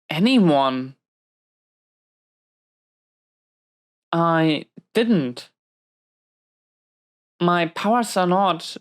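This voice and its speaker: A woman playing a protective, hot-tempered but tender supervillain in prison, in an intimate audio roleplay, speaking defensively and hesitantly to an unseen listener. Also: fairly low pitch. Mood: hesitant, vulnerable.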